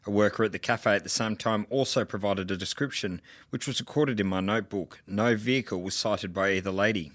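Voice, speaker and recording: A man's voice: fairly low pitch, a little fast, few pauses, fairly bright tone, no room sound, studio quality. A man reading out a police statement in formal, official, itemised language.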